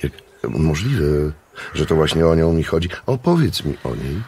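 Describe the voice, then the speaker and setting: An actor playing a curious, courteous gentleman in a radio drama, speaking in a measured, polite way. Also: very deep voice, sing-song, a little hiss or hum, exaggerated voice. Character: curious, courteous gentleman narrating his visit to his sister. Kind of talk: radio drama acting and narration.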